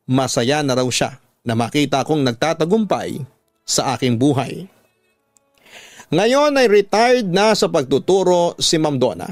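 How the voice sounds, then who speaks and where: A man's voice: fairly high pitch, expressive, a little slow, no echo, soft background music. A man with a Filipino accent reading a scripted, dramatic first-person life story as a radio storytelling host.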